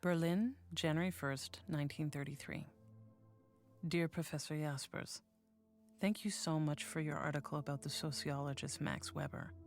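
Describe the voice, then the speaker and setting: A woman reading letters as an earnest young woman philosopher, measured and formal. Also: deep voice, steady tone, soft background music. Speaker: reader of letters in a documentary.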